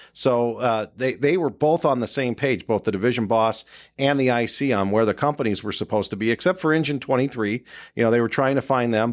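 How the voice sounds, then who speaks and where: A man hosting a casual discussion as someone who works in the fire service. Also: mid-range voice. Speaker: podcast host and fire officer.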